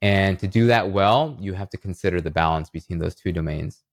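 A man's voice: deep voice, a little fast, no room sound, clean studio sound. A man speaking as an interview guest, explaining ideas in a reflective way and checking in with the listener as he goes. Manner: reflective, uses analogies and rhetorical check-ins. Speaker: podcast guest, writer and illustrator.

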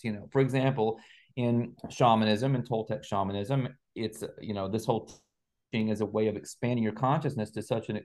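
Reflective man explaining ideas, medium pitch. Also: a little mellow. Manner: reflective, explains through analogies and examples. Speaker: interview guest, author. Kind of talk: conversational explanation.

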